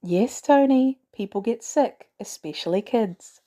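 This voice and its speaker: Professional woman, voiced by a female reader: composed, smooth, mellow, dryly affectionate, fiction reading. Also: fairly high pitch, expressive, unhurried. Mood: matter-of-fact.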